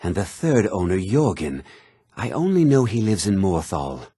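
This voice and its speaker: Husky, zealous museum keeper. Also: male voice, sits low, big pitch swings, normal speed, warm tone, no room sound, studio quality, heavily acted. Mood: businesslike, eager. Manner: theatrical, earnest, swinging delivery. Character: obsessed, zealous museum keeper descended from a cult. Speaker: fantasy game character. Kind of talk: scripted video game dialogue.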